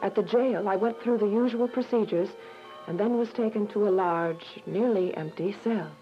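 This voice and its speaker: An actress playing a devout, earnest young woman imprisoned for her faith in a religious radio drama, emotive and deliberate. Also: mid-range voice, close to an everyday voice. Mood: wistful. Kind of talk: religious radio drama acting with first-person narration. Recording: dull lo-fi radio drama with music.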